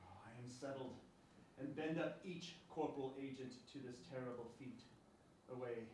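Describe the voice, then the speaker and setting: A man's voice: on the high side, lively intonation, a little slow. A man playing a tormented, volatile warrior lord in theatrical classical stage verse. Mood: grimly resolved. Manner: theatrical, swinging from outbursts to murmurs. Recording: very echoey room, distant mic, faint noise.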